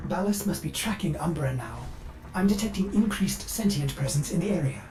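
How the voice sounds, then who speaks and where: An actress playing an anxious, protective mission adviser in a video game, earnest and urgent. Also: female voice, lowish voice, expressive, a little fast, close to an everyday voice, poor audio. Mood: urgent alarm. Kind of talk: video game character dialogue. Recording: loud music behind the voice.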